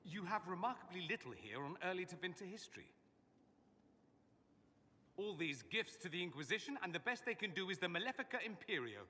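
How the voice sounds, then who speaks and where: Haughty, witty mage, animated delivery. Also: male voice, high-pitched, a little fast, slight background noise. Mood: sardonic, exasperated. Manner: theatrical, sardonic, articulate. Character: witty, haughty, cultured male mage scholar. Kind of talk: video game voice acting. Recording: long echoing hall reverb.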